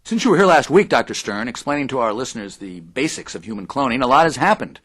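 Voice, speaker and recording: An actor playing an earnest, polite radio reporter conducting an interview in an audio drama. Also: male voice, medium pitch, big pitch swings, fast, fairly bright tone.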